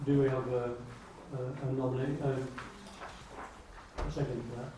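Halting Englishman in a very echoey room. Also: mid-range voice, level tone. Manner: halting, hesitant delivery. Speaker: meeting chair. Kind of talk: chairing a formal council meeting. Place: indoor public meeting room. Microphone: distant camera microphone. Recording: very echoey room, distant mic, noisy.

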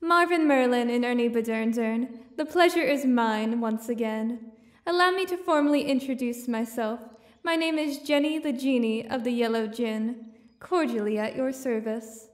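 Woman playing a high-voiced, echoing, regal genie. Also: normal speed, lightly acted. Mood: grandly cordial. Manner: formal, grandiose, condescending. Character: regal, centuries-old female genie, haughty and cordial. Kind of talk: audio theater acting. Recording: roomy, echoing voice.

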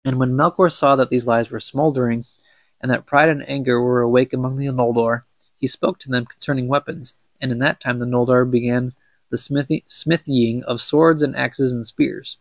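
Young guy with a stuffed up nose reading a book out loud for his podcast, a bit of fry, dead dry sound. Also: medium pitch, fairly even intonation, normal speed, no echo. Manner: chatty, stumbles and laughs at own slips. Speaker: podcast host reading aloud. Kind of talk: casual book reading with commentary.

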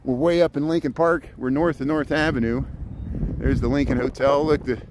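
A middle-aged man from Indiana with a Midwestern American accent, chatting casually and haltingly as he livestreams a walk outdoors. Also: medium pitch, fairly even intonation. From Indiana, United States. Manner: halting, chatty, jumping between subjects. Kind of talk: casual rambling walk-along livestream chat. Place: outdoors, walking in a city park. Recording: noisy outdoor ambience with traffic.

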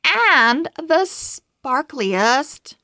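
A woman voicing a boastful little schoolgirl in a storybook, with drawn-out, emphatic bragging. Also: high voice, big pitch swings, sharp tone, no echo, studio quality, pitched above the performer's own voice, full character voice.

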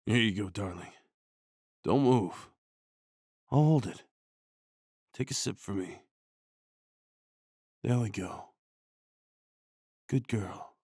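Husky, slow-talking man soothing his partner. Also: on the low side, dry recording, clean studio sound. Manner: hushed, soothing, breathy sighs and shushing. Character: tender, worried boyfriend comforting his partner. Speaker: ASMR audio roleplay performer. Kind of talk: ASMR comfort roleplay, acted as a caring boyfriend.

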